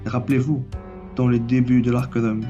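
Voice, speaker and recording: A man's voice: medium pitch, flat delivery, moderate pace, lo-fi sound, over music. A man with a cold, reading his own theory video as a channel host, with small restarts. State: has a cold. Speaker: anime and manga YouTuber.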